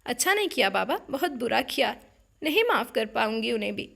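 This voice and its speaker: A bitter, defiant young bride in a spoken storybook, her fairly high voice raised with emotion, as a woman narrator voices her. She speaks with heat and force. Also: very animated, creaky, a bit bright, full character voice. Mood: furious.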